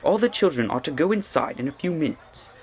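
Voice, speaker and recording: A man playing a reassuring, helpful gentleman in an audio drama. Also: a little high, lively intonation, fairly quick, close to an everyday voice. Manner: reassuring, informative. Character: reassuring man waiting in line, addressing boy.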